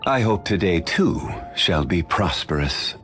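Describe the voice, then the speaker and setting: Game role: an ageless, dignified scholar-gentleman, very deep and unhurried, formal and polite, faint music behind. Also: big pitch swings, full character voice. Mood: calm, formal. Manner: measured, formal and courteous. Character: ancient, dignified man, calm and learned consultant. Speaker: voice actor. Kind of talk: video game voice lines.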